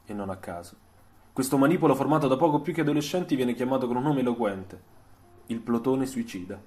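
A man reading a scripted literary story for radio, in a measured, dramatic storytelling way. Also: medium pitch, a little mellow.

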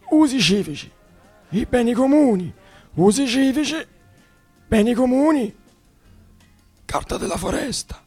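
A man voicing a squeaky, excitable male professor who keeps chanting the same phrase over and over. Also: dry recording, exaggerated voice.

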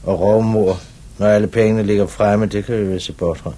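An actor playing a brisk, probing police commissioner in a 1950s radio drama, with clipped, authoritative questioning. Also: male voice, lowish voice, steady tone, mellow, close to an everyday voice. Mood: reasoning.

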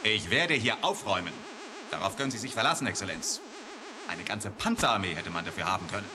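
A man playing a gruff, sceptical army general in a German radio drama, blunt in manner. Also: fairly low pitch, lively intonation, fast talker, lightly acted. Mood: stern. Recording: 1980 radio drama, music underneath.

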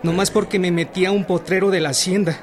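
A man's voice: high-pitched, steady tone, fast, loud music behind the voice, close to an everyday voice. An actor playing an eager, plucky Mexican boy recruit in a radio drama, earnest and a little hesitant. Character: eager, plucky boy wanting to join the rebels.

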